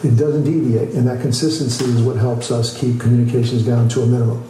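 A man's voice: mid-range voice, little pitch movement, fast, warm tone. A man working as an air traffic controller, explaining procedures and demonstrating radio phraseology. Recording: very echoey replayed video, faint noise.

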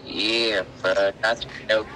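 A man's voice: fairly low pitch, flat delivery, extremely slow, bright, forceful tone, a little hiss or hum. A man chatting in casual slang on a phone call played over speaker. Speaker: prankster's phone caller. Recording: speakerphone in a busy public store.

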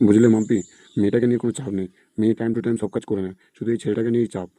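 A man's voice: fairly low pitch, level tone, very fast, dark tone, no echo, natural voice. A man voicing a worried, stern father in an amateur cartoon, grumbling and repetitive in his advice.

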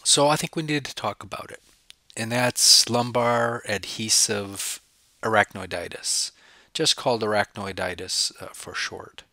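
A man's voice: fairly low pitch, steady tone, measured pace, pushed and bright, dry recording. A man with a cold, sniffling a little, giving a recorded slide lecture as a professor and putting questions to the listener that he then answers himself. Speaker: professor lecturing on spine cases.

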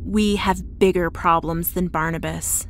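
A woman playing a low, cold henchwoman. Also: fairly even intonation, a little slow, a little hiss or hum, close to an everyday voice. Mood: cool. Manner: terse, coolly threatening. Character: cold, deadly henchwoman, obedient but threatening. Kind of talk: audio horror drama acting.

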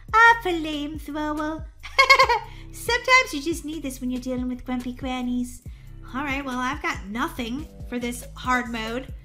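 A woman hosting a gaming video, giving excited, playful and dramatic gameplay commentary for young viewers. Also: high voice.

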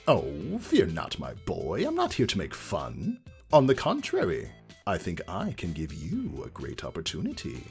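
An actor voicing a mischievous male chaos spirit in a fan fiction reading, theatrical and slyly persuasive, with a husky, raspy delivery. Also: sits low, wildly swooping pitch, a little mellow, faint music behind, heavily acted. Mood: persuasive, smug. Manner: theatrical, drawn-out, swooping delivery.

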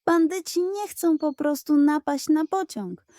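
A woman's voice: high-pitched, measured pace, mellow, no room sound, clean studio sound, pitched above the performer's own voice. A woman voicing a bold Wild West sheriff. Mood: grave. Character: brave male Wild West sheriff, decisive.

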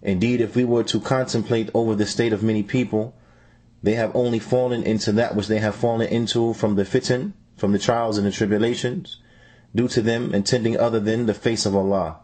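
A man with a lowish, rough-edged voice reads a religious teaching in translation. His delivery is measured, like a lecture. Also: fairly even intonation, warm tone, low-quality recording. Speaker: translator reading an Islamic scholar's answers.

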